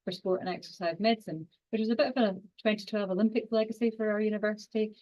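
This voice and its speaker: A woman in her forties with a strong Scottish accent, giving an online science lecture quickly, with frequent fillers and restarts. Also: mid-range voice, steady tone, warm tone. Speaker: university lecturer and exercise scientist.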